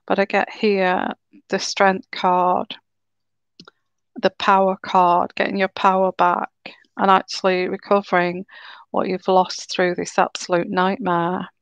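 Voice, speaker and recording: A woman giving a live tarot reading in a slow, soothing, reassuring way, her throat a little sore and croaky. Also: mid-range voice, level tone, no echo, lo-fi sound. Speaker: tarot reader.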